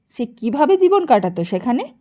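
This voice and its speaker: A woman's voice: high-pitched, big pitch swings, normal speed, muffled recording. A woman voicing a bitter, domineering older mother-in-law who scolds and interrogates in an audiobook. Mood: suspicious. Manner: scolding, sharp-tongued, interrogating.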